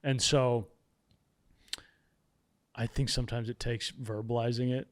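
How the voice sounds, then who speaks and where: Male podcast guest with a smooth, resonant voice and a mid-range pitch. Reflective and candid, he pokes fun at himself. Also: little pitch movement, measured pace. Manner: candid, self-deprecating humor. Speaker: podcast guest, author and podcast host. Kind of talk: reflective casual conversation.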